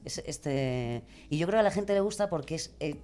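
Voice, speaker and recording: A woman with a Spain Spanish accent explaining her craft in a casual, expressive way as a podcast guest. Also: low voice, normal speed. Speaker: podcast guest, true crime narrator and creator.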